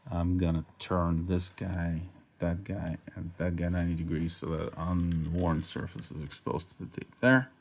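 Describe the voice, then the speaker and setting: A man with a low voice and a heavy gravelly creak hosts a hands-on restoration video. He explains things casually, as if at the workbench. His talk is informal and self-correcting, with a little mild swearing. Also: level tone, moderate pace. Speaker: host of a repair and restoration video.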